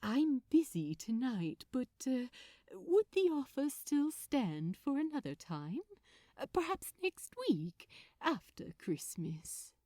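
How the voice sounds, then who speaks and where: Woman playing gentle male angel, prim, courteous, bashful, faintly smug, unhurried, storybook reading, dry recording. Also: high-pitched, big pitch swings, no room sound, full character voice. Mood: bashful, pleased.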